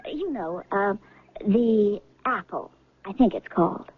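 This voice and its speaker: A woman's voice: medium pitch, lively intonation, slow pace, husky. An actress playing a carefree, coaxing woman in 1970s radio drama, with a playful, nonchalant manner. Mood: persuasive. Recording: thin phone-like old radio recording.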